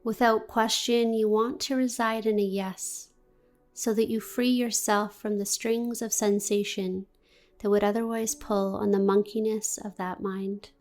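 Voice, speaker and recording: A woman guiding a meditation in a gentle, deliberate, soothing manner with long pauses. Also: medium pitch, little pitch movement, faint music behind. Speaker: yoga nidra meditation guide. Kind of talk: guided meditation, scripted narration.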